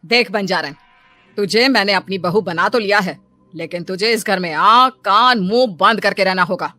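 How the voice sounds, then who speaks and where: A woman acts out a middle-aged cartoon mother-in-law. She is stern and bossy, commanding and threatening in a medium-pitched voice, over soft music. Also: expressive, moderate pace, no room sound, natural voice. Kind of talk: Hindi cartoon story acting.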